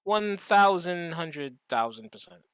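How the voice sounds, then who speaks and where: Bright, high-pitched man telling stories. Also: expressive, slow, slight background noise. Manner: emphatic, profane, repeats phrases for effect. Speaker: podcast host. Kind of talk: casual chat, animated storytelling.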